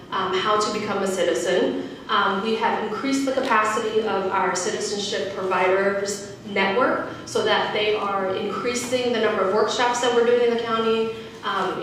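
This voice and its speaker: A woman giving an informal public presentation as a panel speaker, often checking in with her listeners with a quick "right?". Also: mid-range voice. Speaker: county community liaison, panel speaker. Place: indoor panel event before an audience. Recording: very echoey room, distant mic, faint noise.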